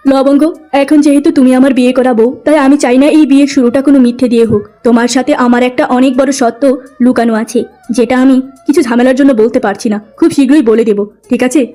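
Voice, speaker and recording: Woman voicing an earnest young man, high mellow voice. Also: steady tone, a little fast, lightly acted. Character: kind humble young man, servant secretly a police officer. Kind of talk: cartoon moral story acting.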